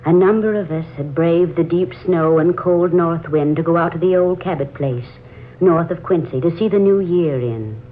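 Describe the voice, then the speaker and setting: A woman's voice: fairly low pitch, moderate pace, lightly acted. Earnest young lady played by an actress in a 1940s radio play, dramatic, tinny hissy old recording. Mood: solemn, haunted. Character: Laura Cabot, earnest young woman telling an uncanny tale.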